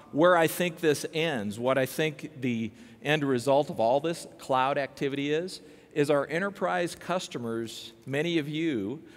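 Older man giving a keynote on stage. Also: fairly high pitch. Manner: expansive, gesturing, upbeat. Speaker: company president giving keynote. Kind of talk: keynote speech. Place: large dark conference stage. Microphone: headset mic. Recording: long hall reverb.

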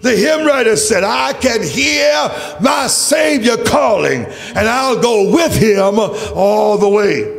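High-pitched, impassioned male preacher in echoing hall. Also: expressive, takes it slowly, creaky, few pauses. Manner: declamatory, emphatic, repetitive rhetorical phrasing. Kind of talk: impassioned church sermon. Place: large church. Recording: reverberant hall, faint music.

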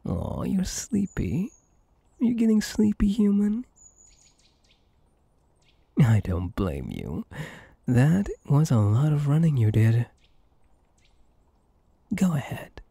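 A dark-voiced, possessive male elf acted by a man for an ASMR roleplay, slow and intimate. He coaxes and soothes his beloved with devoted intensity, husky and swooping between low murmurs and lighter tones. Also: huge pitch swings, no room sound, exaggerated voice. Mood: soothing, protective. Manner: coaxing, soothing, intense, often dropping to a whisper.

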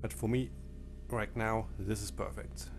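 A man whose first language is German, talking over a game menu in halting phrases that break off mid-sentence. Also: on the low side, flat delivery. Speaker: let's play commentator. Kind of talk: game commentary with disclaimers. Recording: faint game menu music behind.

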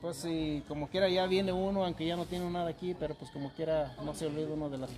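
An older man from the Durango region of Mexico, chatting outdoors in a soft-spoken, modest and reflective way. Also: on the high side, fairly even intonation, quick pace. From Chalchihuites, Mexico; raised in Durango. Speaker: visiting emigrant. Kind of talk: casual street conversation. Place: outdoors on a street.

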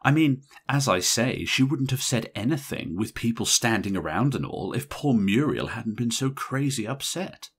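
A man reading an audiobook, voicing a brash, irritable young woman in a bridesmaid's role who talks in a shrill, insistent way. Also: expressive, normal speed, few pauses, slightly rough. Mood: gossiping. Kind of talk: audiobook character voice.

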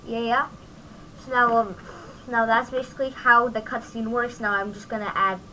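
A woman giving a casual, off-the-cuff software tutorial, thinking aloud as she demonstrates on a home microphone recording. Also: a little high, little pitch movement, moderate pace, a little hiss or hum, poor audio. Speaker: tutorial host.

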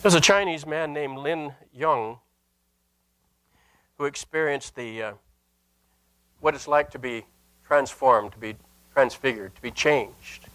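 An older man, a Lutheran pastor, preaching a sermon in an earnest, emphatic manner with deliberate pauses and repeated phrases. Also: medium pitch, fairly bright tone. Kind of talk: sermon with scripture and anecdote. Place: indoor church sanctuary at a lectern.

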